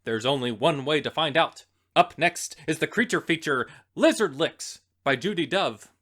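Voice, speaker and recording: A man's voice: on the high side, expressive, moderate pace, a bit bright. A man hosting a radio play festival with scripted, dramatic announcing. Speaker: festival host.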